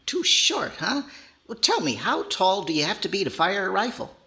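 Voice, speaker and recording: Cheeky ventriloquist's dummy boy, sharp lively voice, 1940s radio wisecracker. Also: high voice, moderate pace, full character voice. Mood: cocky. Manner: wisecracking, snappy. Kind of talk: 1940s radio comedy acting.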